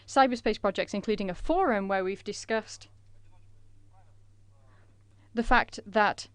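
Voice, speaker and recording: A woman renders a conference presentation in a measured way, pausing often on fillers. Her voice is smooth and clear, recorded close and dry. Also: medium pitch, fairly bright tone, dry recording. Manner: measured, with frequent filler pauses.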